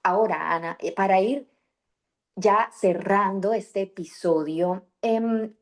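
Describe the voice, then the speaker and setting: A woman's voice: medium pitch, slow pace. A woman hosting a podcast interview on raising bilingual children, speaking reflectively with many fillers and restarts. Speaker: podcast host and bilingual parenting coach. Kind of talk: conversational interview.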